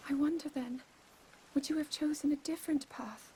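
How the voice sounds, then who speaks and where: A woman's voice: high-pitched, steady tone, normal speed, dark tone, slight background noise, close to an everyday voice, poor audio. A woman playing an earnest, scholarly young fantasy princess in a video game, with a British accent and formal, precise diction. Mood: reflective. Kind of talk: dramatic video game cutscene acting. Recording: music behind the voice.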